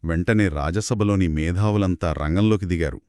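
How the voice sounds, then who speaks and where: A man's voice: deep voice, normal speed, darkish tone, dry recording, studio quality. A man co-hosting a conversational story discussion in an analytical, explanatory way. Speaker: podcast co-host.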